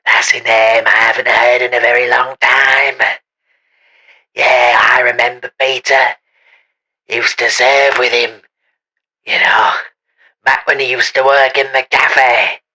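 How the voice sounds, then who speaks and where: A bitter British former cafe worker acted by a man, loud and very sharp-voiced. He gossips indignantly with a rough edge, as in a comic mock documentary. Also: mid-range voice, little pitch movement, slow, long pauses, exaggerated voice, low-quality recording.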